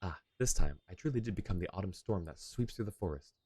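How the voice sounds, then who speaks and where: A man's voice: deep voice, expressive, quick pace, warm tone, slightly rough. A man voicing a polite, talkative young swordsman from a game, with a courtly and lightly playful manner. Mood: chatty, earnest. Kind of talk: reading game dialogue in character. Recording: game music behind.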